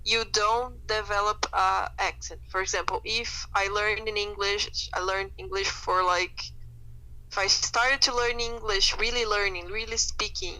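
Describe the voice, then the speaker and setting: A woman's voice: medium pitch, fairly even intonation, a little slow, sharp tone, poor audio. A young woman, a guest chatting thoughtfully on a podcast with many fillers. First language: Portuguese. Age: young adult. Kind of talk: casual chat.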